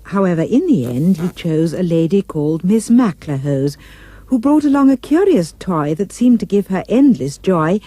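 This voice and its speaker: A woman's voice: on the low side, big pitch swings, normal speed, mellow, no room sound. A woman narrating a rhyming storybook tale for a puppet show with dramatic, emphatic delivery.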